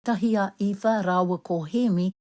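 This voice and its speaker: A woman's voice: mid-range voice, moderate pace, dark tone, no room sound, clean studio sound. A woman reporter narrating a news feature with a wry tone, moving between English and Māori. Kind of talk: scripted news narration and interviewing. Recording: music bed behind narration.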